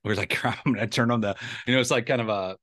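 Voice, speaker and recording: A man's voice: medium pitch, rapid-fire, a bit bright, no echo, studio quality. A man hosting a casual podcast, rambling and chuckling as he talks. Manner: rambling, restarts often, chuckles. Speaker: podcast host. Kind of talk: casual interview chat.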